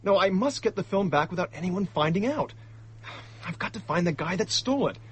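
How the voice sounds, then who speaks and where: An actor playing an indignant, hot-headed young male diplomat in a radio drama, talking in excitable slang. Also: high voice, brisk, a little hiss or hum. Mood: determined, indignant.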